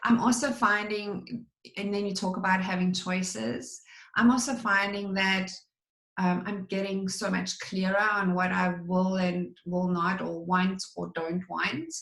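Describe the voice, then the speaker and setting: A woman hosting an interview, talking candidly and with self-deprecating humour in a relaxed conversational way. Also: medium pitch, unhurried, echoey room, low-quality recording. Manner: candid, self-deprecating, talks about herself freely. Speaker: podcast host.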